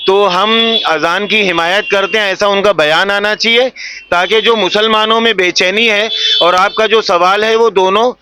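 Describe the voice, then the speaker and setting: A man's voice: high-pitched, fairly even intonation, normal speed, bright, forceful tone, clear, resonant tone, dry recording. A man giving diplomatic, explanatory interview comments on local affairs. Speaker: community interviewee.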